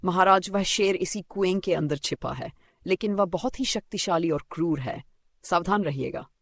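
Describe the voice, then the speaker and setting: A small, quick-witted storybook rabbit, voiced by a man, courteous on the surface and sly underneath. The voice sits fairly high with a creaky edge, recorded dry. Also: a bit bright, no echo. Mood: feigned humility. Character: small clever male rabbit, confident, cunningly humble.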